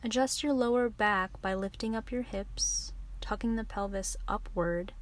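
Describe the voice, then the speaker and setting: A woman leading a guided relaxation meditation as a yoga teacher, giving soothing, gentle instructions in an unhurried way. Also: medium pitch, steady tone.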